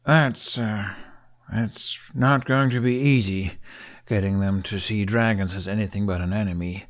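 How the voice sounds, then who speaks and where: A man's voice: on the low side, steady tone, a little slow, close to an everyday voice. A man voicing an awkward, earnest young male Viking dragon rider in an audiobook, hesitant and easily flustered. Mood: wry, reluctant. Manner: hesitant, stammering, flustered when pressed. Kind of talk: audiobook character acting.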